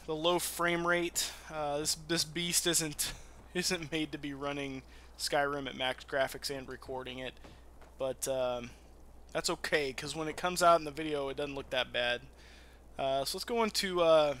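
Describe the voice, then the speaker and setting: Guy doing lets play commentary, kinda high bright voice, rambly n sweary, casual. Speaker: gaming channel host. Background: game music.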